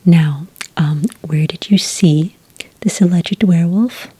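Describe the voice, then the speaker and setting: Woman acting as female report agent, whispery and very breathy, low-pitched, soft ASMR roleplay, polite, methodical, patient. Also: slow pace, exaggerated voice. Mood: politely inquiring. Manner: gentle, methodical, patient questioning with hesitations. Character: calm, polite, thorough female agent taking werewolf sighting reports.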